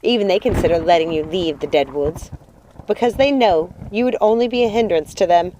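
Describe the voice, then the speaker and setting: A woman's voice: fairly low pitch, fairly quick, mellow, a little hiss or hum, natural voice, rough recording. Imperious witch mother, played by a female narrator: scornful, possessive, commanding, storybook villainess. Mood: contemptuous. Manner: scornful, commanding, theatrical delivery. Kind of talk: audiobook character acting.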